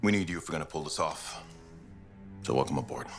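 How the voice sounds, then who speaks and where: A man playing a gruff, protective veteran pilot of an armored suit, blunt and emphatic, in a video game drama. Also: a bass voice, animated, fast talker, over music. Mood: calm, conciliatory. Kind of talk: cinematic game drama acting.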